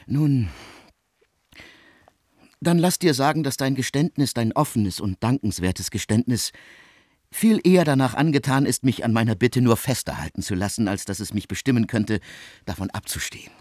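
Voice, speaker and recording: A male actor plays a formal, probing man in a German radio play. His voice is husky and close in a dry studio. He sets out each phrase deliberately, with cool irony. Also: medium pitch, expressive, darkish tone, no room sound, close to an everyday voice. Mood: insistent. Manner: deliberate, ornate, pointed, ironic diction. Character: cool, probing, formal man asking a friend to woo for him.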